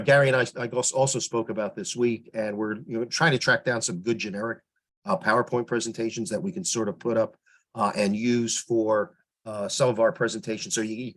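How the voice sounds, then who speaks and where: Male volunteer PR lead, fairly low voice, informal online meeting report, meandering and halting delivery. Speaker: public relations volunteer. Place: teleconference call.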